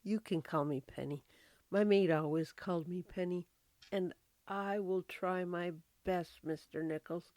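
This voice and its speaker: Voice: mid-range voice, steady tone, unhurried, darkish tone, no room sound, natural voice. A performer playing a polite, grateful, earnest young woman secretary in an old-time radio drama. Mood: grateful, eager.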